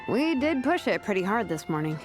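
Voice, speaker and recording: A woman's voice: medium pitch, sing-song, brisk, music in the background, natural voice. A woman playing a friendly, easygoing young woman in an anime-style game, casual and upbeat.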